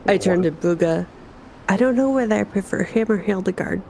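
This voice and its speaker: Creaky-voiced woman playing a tabletop game. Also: mid-range voice, fairly quick, warm tone, a little hiss or hum. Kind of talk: casual roleplay chat.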